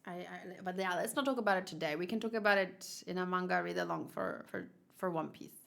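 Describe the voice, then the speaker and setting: A woman's voice: lowish voice, fast, vocal fry, fairly bright tone. A woman streamer chatting casually with her viewers, rambling and thinking aloud as she often repeats herself. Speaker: streamer hosting a manga read-along. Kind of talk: casual livestream chat with viewers.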